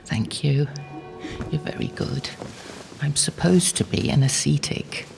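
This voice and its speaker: An older woman playing an elderly, devout medieval anchoress in an audio drama, gentle and humble, praying in a hushed, earnest, reflective way. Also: deep voice, unhurried, vocal fry, over music, full character voice. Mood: flustered, grateful. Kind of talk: audio drama acting, intimate prayer.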